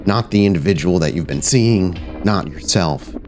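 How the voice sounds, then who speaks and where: Male game master, very low register, suspenseful deliberate narration with dramatic pauses, soft music bed. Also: lively intonation. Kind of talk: narrating a tabletop horror game.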